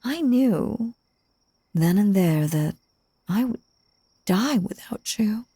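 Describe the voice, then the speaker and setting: A woman playing a sinister, possessive stage magician in a dramatic audio roleplay, with breathy, coaxing, theatrical delivery and syrupy menace. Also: medium pitch, lively intonation, slow pace, mellow, no echo, studio quality. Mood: infatuated, fervent.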